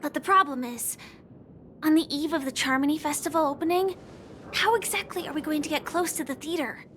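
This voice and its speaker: High-voiced, earnest young woman. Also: a little fast, fairly bright tone, slight background noise. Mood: concerned, determined. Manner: earnest, polite. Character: earnest, determined, careful young woman ally. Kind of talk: sincere video game dialogue.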